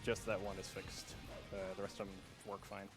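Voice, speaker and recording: A man's voice: fairly low pitch, completely flat delivery, normal speed. A man playing a game live and casually explaining its mechanics as he goes, with loose fillers. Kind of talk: casual live gameplay commentary. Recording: loud game music behind voice.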